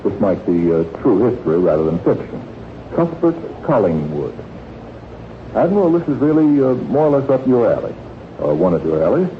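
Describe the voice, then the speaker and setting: A man's voice: fairly low pitch, sing-song, normal speed, phone-quality sound, slight background noise. A man hosting a 1940s radio quiz in a witty, urbane, teasing manner, on an old radio recording. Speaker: quiz show host.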